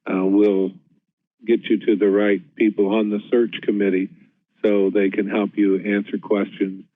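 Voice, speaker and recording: A man speaking as a chief executive at a conference, steady and measured, with many "uh" fillers. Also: on the low side, dry recording. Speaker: health management CEO. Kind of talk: conference presentation. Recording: tinny phone-line audio.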